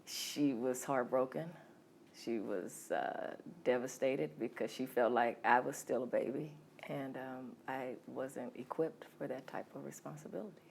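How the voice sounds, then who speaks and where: A middle-aged woman from California telling her life story as an interview guest, hesitant with many ums and soft laughs. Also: a bass voice, steady tone, measured pace, creaky, a little hiss or hum. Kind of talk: candid personal storytelling.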